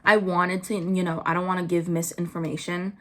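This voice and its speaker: A young woman doing casual commentary, blunt and full of "like" fillers, her pitch jumping up when she gets worked up. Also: flat delivery, a little fast. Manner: blunt, swears, many "like" fillers. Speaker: vlogger.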